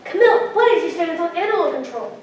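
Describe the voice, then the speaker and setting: A woman's voice: extremely high pitch, lively intonation, fast talker, a little hiss or hum. A woman playing a pushy, nosy news reporter in a stage musical. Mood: prying, eager. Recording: echoey hall, distant mic, music.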